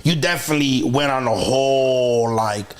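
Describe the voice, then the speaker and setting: A comedian hosting a podcast, talking loosely and rambling with many restarts. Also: male voice, medium pitch, normal speed. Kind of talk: casual podcast discussion.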